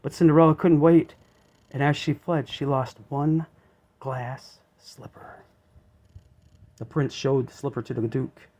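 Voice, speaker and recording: A man reading a children's story aloud as an expressive narrator. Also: mid-range voice, measured pace, long pauses, highs cut off.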